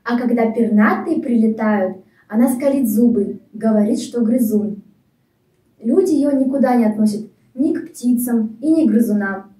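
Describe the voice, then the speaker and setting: A woman's voice: on the high side, moderate pace, dark tone. A woman narrating a children's fairy tale from a script. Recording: echoey, slightly distant microphone.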